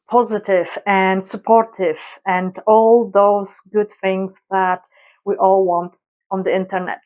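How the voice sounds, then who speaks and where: A young adult woman from Poland with a Polish accent, hesitantly introducing herself in a casual vlog with many fillers. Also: medium pitch. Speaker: YouTuber.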